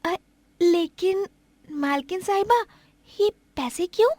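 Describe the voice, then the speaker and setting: A woman's voice: extremely high pitch, animated, slow pace, darkish tone, no echo, heavily acted. A woman voicing a humble, deferential housemaid in a cartoon moral story, polite and hesitant. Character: humble, grateful young housemaid, timid and deferential.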